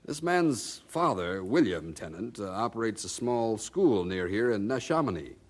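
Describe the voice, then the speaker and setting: Witty colonial printer with lively delivery. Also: male voice, lowish voice, normal speed. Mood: wry, affable. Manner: wry, conversational, amused. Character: genial, skeptical colonial printer, worldly and witty. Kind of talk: audio drama acting.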